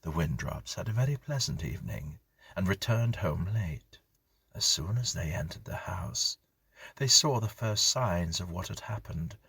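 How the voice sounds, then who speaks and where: A man reading a scripted horror story as narrator, in a measured, grave storytelling manner. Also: sits low, lively intonation, vocal fry, a bit bright, breathy edge, dry recording.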